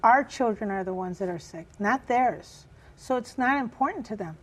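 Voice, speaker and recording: A woman with a raspy voice, chair of a community group, answering interview questions earnestly with many pauses and fillers. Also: on the high side, expressive. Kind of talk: news interview guest.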